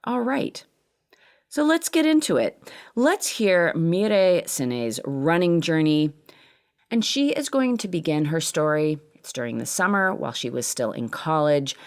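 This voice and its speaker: A woman podcast host and producer reading a scripted introduction in an earnest, emphatic way. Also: sits low.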